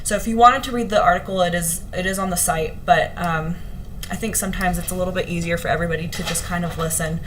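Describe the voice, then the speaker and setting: A young woman with a slightly hoarse voice, chatting earnestly to her viewers on a vlog, with plenty of ums and restarts. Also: lowish voice, fairly even intonation, fast talker, a little hiss or hum. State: a little hoarse. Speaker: vlogger. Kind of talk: casual talk to camera to live viewers.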